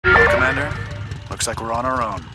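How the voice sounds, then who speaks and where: An actor playing a rough, defiant rebel space commander in a video game, blunt and informal. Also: male voice, mid-range voice, normal speed, over loud music, lightly acted. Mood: weary regret. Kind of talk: dramatic video game voice acting.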